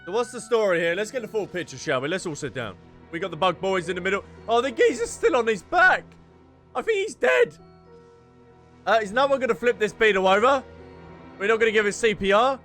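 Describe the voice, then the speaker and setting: High-pitched, playful male gaming streamer. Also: big pitch swings, brisk, fairly bright tone. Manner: playful, jokey play-by-play. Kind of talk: casual live game commentary. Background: game music.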